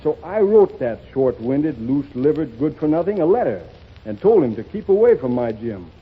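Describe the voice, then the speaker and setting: An actor playing a stern, bossy gym instructor in a 1930s radio comedy sketch, brisk and scolding. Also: male voice, fairly high pitch, very animated, breathy edge. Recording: tinny reverberant old radio broadcast.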